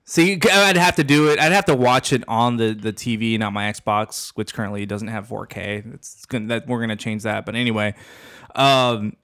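A man's voice: lowish voice, fairly even intonation, normal speed, few pauses, fairly bright tone. A man co-hosting a podcast, easygoing and wry, chatting casually and laughing along. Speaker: podcast co-host. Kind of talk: casual chat.

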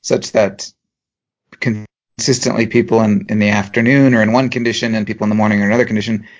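A man, a professor co-hosting a podcast, explaining ideas in a measured way with dry humour. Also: fairly low pitch, fairly even intonation, highs cut off. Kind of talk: explanatory chat.

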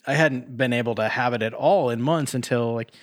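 A man's voice: medium pitch, brisk, no room sound, studio quality. A man co-hosting a podcast in casual chat, rambling along and swearing casually. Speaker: podcast co-host.

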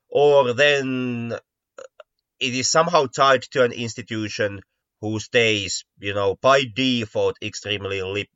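A man's voice: lowish voice, fairly bright tone. A man co-hosting a casual podcast discussion, stammering and often repeating words mid-sentence. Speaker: podcast co-host. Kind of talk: casual discussion of mystery stories. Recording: dull, lo-fi, roomy echo.

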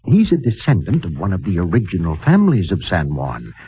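An actor playing a kindly, righteous mission priest in a gentle, measured, formal manner, in a 1950s radio drama. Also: male voice, sits low, huge pitch swings, husky, full character voice. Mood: informative, helpful. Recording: muffled, narrow-band vintage radio recording.

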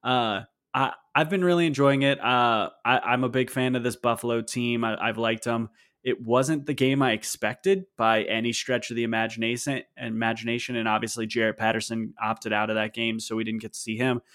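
A man's voice: mid-range voice, fairly even intonation, a little fast, a bit bright. A man hosting a casual sports podcast, opinionated and wry, talking with plenty of fillers. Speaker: podcast host.

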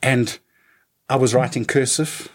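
A man's voice: mid-range voice, fairly even intonation, a little slow. A man in his fifties, a musician telling childhood stories in a casual interview, with lots of restarts and fillers. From South Africa. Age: 50s. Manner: rambling, many restarts and fillers. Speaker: musician guest.

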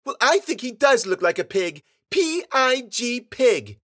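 A man's voice: extremely high pitch, big pitch swings, measured pace, fairly bright tone, pitched above the performer's own voice, heavily acted. A man voicing creepy, gleeful little girls with an insistent, babbling, squealing delivery in a narrated story. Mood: indignant. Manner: babbling, giggly, repetitive and insistent.

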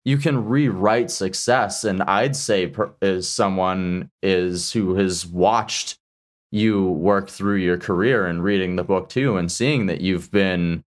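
Male interviewer, fairly low voice, casual and conversational, supportive and appreciative delivery. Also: slow. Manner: affirming, appreciative.

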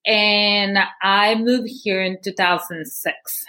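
A woman's voice: medium pitch, steady tone, slow pace, pushed and bright. A young woman from Guatemala, a podcast guest candidly telling her life story with many fillers. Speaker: guest, movement founder. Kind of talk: personal story interview.

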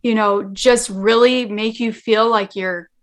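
A woman's voice: fairly high pitch, steady tone, unhurried. A woman guest in casual conversation, talking earnestly and rambling with lots of fillers. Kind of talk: casual chat.

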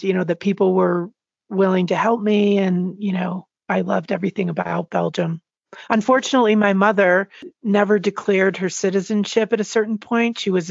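A woman's voice: fairly low pitch, creaky, no room sound, lo-fi sound. A woman interviewing her guest in a casual, chatty and personal way.

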